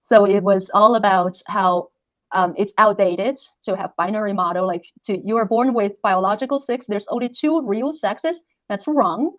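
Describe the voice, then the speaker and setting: A woman whose first language is not English, explaining things casually as an interview guest, halting and repeating words. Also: mid-range voice, no room sound. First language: not English, which is a third language. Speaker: interview guest, reading tutor.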